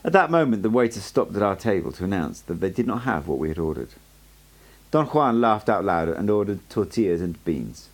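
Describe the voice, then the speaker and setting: Guy reading a book out loud for fun, low rough voice, steady storyteller, lots of pitch movement. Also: fairly quick. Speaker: audiobook reader. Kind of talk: amateur audiobook narration.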